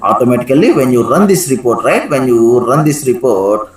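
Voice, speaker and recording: An echoey recording of a man with a medium-pitched voice leading a live software lesson. He gives step-by-step instructions in a teacherly way and often stops to check that listeners follow. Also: expressive, fairly quick. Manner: instructive, frequently checks with "right?". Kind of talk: live screen-share software tutorial with questions to students. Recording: echoey room sound.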